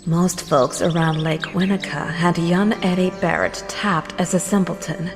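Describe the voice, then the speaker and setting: A woman's voice: on the low side, steady tone, normal speed. A woman acting as hostess and narrator of a scripted story, telling it in a dramatic storytelling style. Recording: long reverb, music behind.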